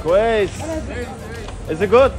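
A man in his thirties talks to a handheld camera at arm's length while strolling through a busy street market. His voice sits a little high for a man and stays bright and chatty. A music bed plays clearly underneath. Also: lively intonation, takes it slowly, crowd noise. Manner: upbeat, chatty, greets passersby. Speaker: travel vlogger. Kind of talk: walking vlog to camera. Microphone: handheld action camera at arm's length.

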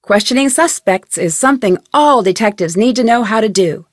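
A woman playing a chipper, curious young detective, giving friendly, clearly articulated tutorial narration for a game. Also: a little high, expressive, moderate pace, no room sound, studio quality. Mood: friendly, instructive.

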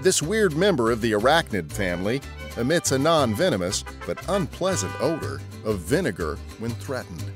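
A man narrates scripted history for a podcast. His medium-pitched voice moves with lively intonation, telling the tale over faint background music. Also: moderate pace.

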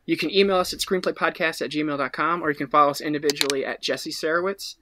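A man's voice: on the high side, steady tone, quick pace, background noise. A man in his twenties in casual chat, co-hosting a podcast from home on a video call. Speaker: podcast co-host.

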